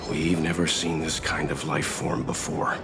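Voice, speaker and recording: A man voice actor playing a calm, mature gentleman leading travelers in a video game, measured and earnest as he explains. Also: rumbling low, over music. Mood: observant, wary.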